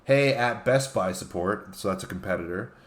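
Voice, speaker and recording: Low-voiced man chatting casually. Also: moderate pace. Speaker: guest on a comedy talk show. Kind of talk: casual banter, reading out tweets.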